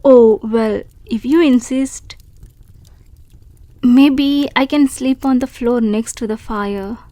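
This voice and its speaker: A woman voicing a shy, grateful young female wolf creature in an audio roleplay, speaking softly and politely and stammering when unsure. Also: on the high side, takes it slowly, dry recording. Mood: bashful. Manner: soft, polite and hesitant, with stammers.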